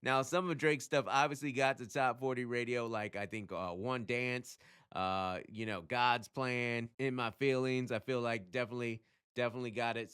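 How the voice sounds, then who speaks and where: A man with a bright, forceful voice and clean, smooth tone hosts a casual explainer. His delivery is conversational and emphatic, at a medium pitch. He punctuates his points with rhetorical questions and short check-ins. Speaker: music radio commentator hosting his own channel. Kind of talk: casual explainer talk to camera.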